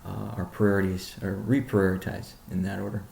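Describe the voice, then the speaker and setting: A man speaking as a company chief executive on a conference call, answering questions in a measured, deliberate, halting way. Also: low voice, level tone, vocal fry, dark tone, roomy sound.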